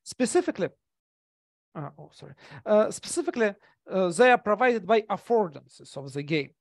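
Male academic, 30s, rough gravelly tone, halting delivery, dry headset audio. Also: high-pitched, animated, no room sound. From Russia. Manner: hesitant, many fillers and restarts. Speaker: conference presenter. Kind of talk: academic presentation over video call. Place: small room indoors. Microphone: gaming headset.

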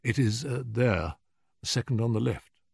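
A man's voice: mid-range voice, animated, normal speed, creaky, darkish tone, slightly rough, no echo, pitched above the performer's own voice, full character voice. An anxious, deferential male assistant acted by a man reading a story, hesitant and apologetic. He stammers slightly and is recorded dry and close. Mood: nervous, worried.

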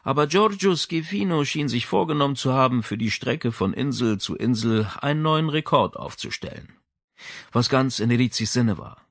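A man's voice: mid-range voice, no room sound, clean studio sound. A man reading a crime novel aloud in a scripted narration style. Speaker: audiobook narrator.

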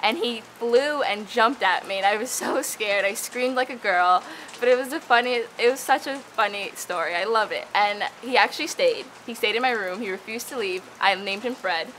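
A woman's voice: on the high side, low-quality recording. Bubbly young woman over rain noise. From Dominican Republic. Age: young adult. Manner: eager, bubbly, quick restarts. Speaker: student researcher. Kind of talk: casual to-camera interview. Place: outdoor patio beside tropical plants. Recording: steady rain noise behind.

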